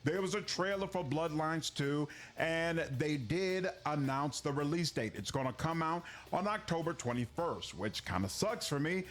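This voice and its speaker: Higher-voiced man, gaming creator, exasperated and explanatory, casual talk. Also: animated, moderate pace, fairly bright tone, slight background noise. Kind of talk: casual news commentary.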